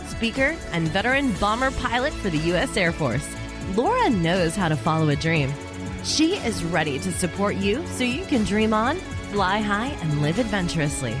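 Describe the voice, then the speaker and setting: A woman reading a scripted promo for a radio show. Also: medium pitch. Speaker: ad announcer. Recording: voice over music bed.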